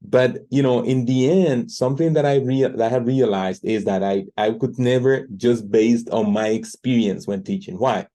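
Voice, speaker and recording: A man in his forties with a Dominican Spanish accent, his voice mellow and soft-edged. He talks earnestly and a little haltingly, restarting phrases. He introduces himself as a tutor into the camera of his home teaching office. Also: mid-range voice, steady tone. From Dominican Republic. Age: 40s. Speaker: language tutor. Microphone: computer camera.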